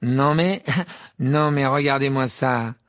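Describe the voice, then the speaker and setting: A man's voice: mid-range voice, steady tone, takes it slowly, fairly bright tone, dry recording, studio quality, voice pushed up. A man voicing a spoiled, sneering teenage wizard boy who mocks and gloats. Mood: gloating. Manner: mocking, gloating, laughing.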